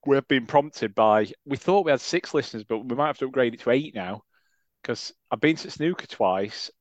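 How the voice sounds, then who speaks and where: A man's voice: medium pitch, animated, moderate pace, no echo. Self-mocking Sheffield man, muffled mic. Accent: Sheffield English. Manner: self-deprecating, laughs often. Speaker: podcast co-host. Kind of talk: casual chat.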